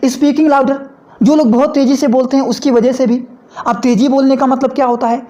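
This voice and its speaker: A man giving a fast, loud video lecture to medical students, repeating points and often checking that listeners have understood. He sounds slightly hoarse. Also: very high-pitched. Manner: repetitive, often asking "understood?", mixing English terms into Hindi; talks loudly and fast. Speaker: medical educator.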